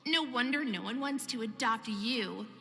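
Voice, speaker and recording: A woman's voice: fairly high pitch, pushed and bright. A woman playing a cruel, taunting orphanage bully girl in a visual novel, mocking and hateful. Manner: mocking, drawn-out. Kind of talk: visual novel villain acting. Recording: long reverb, music behind. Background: music.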